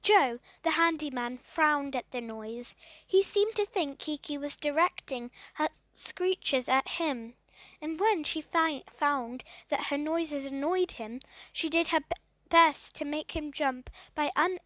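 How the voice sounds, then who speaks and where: A woman's voice: high voice, slow pace, a bit bright, no room sound. A woman narrating a children's storybook aloud from the text. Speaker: storybook reader.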